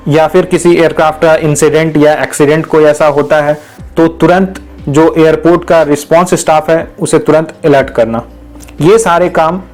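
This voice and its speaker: A man's voice: a little high, darkish tone, poor audio. A man hosting an educational video, explaining patiently in lists and repeating key points, mixing English terms into his Hindi. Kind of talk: explanatory lesson.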